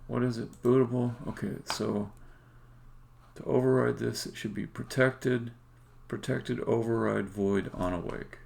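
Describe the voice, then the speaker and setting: A man thinking aloud while live-coding as a game developer, halting and muttering to himself, with keyboard typing and clicking around him. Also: medium pitch, fairly even intonation, gravelly, a little mellow. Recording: faint background noise, keyboard typing. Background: computer keyboard typing and clicking.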